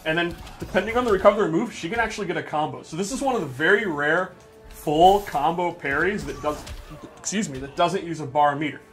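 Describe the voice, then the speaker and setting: A man's voice: a little high, fairly quick, a bit bright, soft background music. A man presenting gameplay in casual, unscripted commentary, explaining things with enthusiasm and emphasis.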